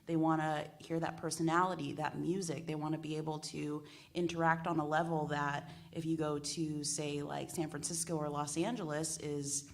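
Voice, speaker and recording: A woman's voice: sits low, flat delivery, normal speed, vocal fry, hardly any pauses. A woman speaking earnestly and casually as a panelist, repeating phrases for emphasis. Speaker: radio panelist. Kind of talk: casual panel discussion talk.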